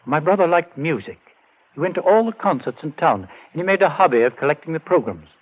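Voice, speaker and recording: An actor playing a grieving brother set on finding a murderer, earnest and urgent in 1940s radio drama style. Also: male voice, fairly high pitch, expressive, fast talker. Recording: tinny old radio broadcast.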